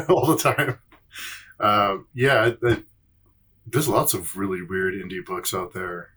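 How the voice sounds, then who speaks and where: Low, husky man, halting delivery. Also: fairly even intonation, bright, forceful tone. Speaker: podcast panellist. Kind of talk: casual podcast chat.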